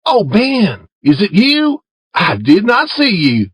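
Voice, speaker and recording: A man's voice: sits high, wildly swooping pitch, slow pace, a bit bright, husky, dry recording, pitched above the performer's own voice, exaggerated voice. A crafty young boy talks in a raised, sing-song voice full of wheedling charm, as a man reading the story puts him on. The sound is close and dry, with no room around it. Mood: sly. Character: clever, coaxing young boy Tom.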